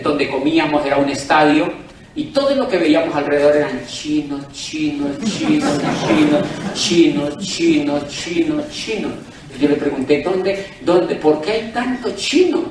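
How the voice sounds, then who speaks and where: A man's voice: medium pitch, gravelly, a little mellow, far from the mic, a little hiss or hum. A Colombian man giving a persuasive sales presentation to a live audience, asking them questions directly. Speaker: business presenter. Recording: echoey room, speaker away from the mic.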